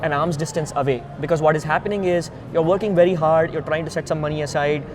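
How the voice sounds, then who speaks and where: Indian guy founder in an interview, kinda high voice, explains stuff step by step, loud street noise behind. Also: steady tone, fast. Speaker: founder and CEO being interviewed. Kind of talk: earnest interview talk. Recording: noisy outdoor ambience with traffic rumble.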